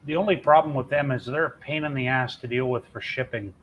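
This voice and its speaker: A man describes a whiskey's nose in measured, plain words on a live stream. His voice sits at a middle pitch, with faint noise in the background. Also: highs cut off. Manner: measured, tasting-note descriptions. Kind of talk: casual live-stream chat.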